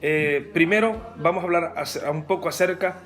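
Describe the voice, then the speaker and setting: Host of a church interview show; formal, pious interjections, music plays under him. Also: male voice, a little high, normal speed, a bit bright.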